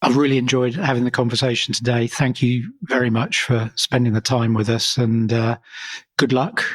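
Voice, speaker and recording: A man's voice: mid-range voice, level tone, moderate pace. A man hosting a podcast interview, asking questions in a friendly way and thanking his guest. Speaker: podcast host.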